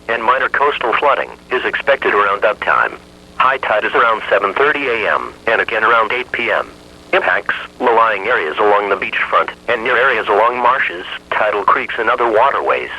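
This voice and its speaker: A synthetic man's voice reads a scripted weather bulletin over the radio. It is very bright and forceful, with a rough, husky edge. The delivery stays flat and evenly measured at a middling pitch. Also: rough recording.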